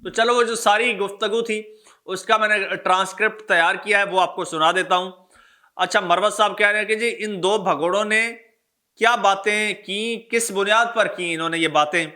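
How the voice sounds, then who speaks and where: A man's voice: high voice, steady tone, moderate pace, bright, forceful tone. A man with a Pakistani accent hosting political news commentary for his viewers, speaking emphatically and stressing his points through repetition. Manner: emphatic, repeats phrases for stress. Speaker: political news vlogger, host.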